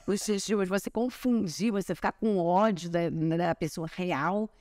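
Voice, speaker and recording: A woman in her forties, an actress from Brazil, telling stories in a relaxed chat on a sofa in a bright living room. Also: on the low side, measured pace, dry recording. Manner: chatty, animated storytelling with hand gestures. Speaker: actress and guest. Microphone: small clip-on mic on collar.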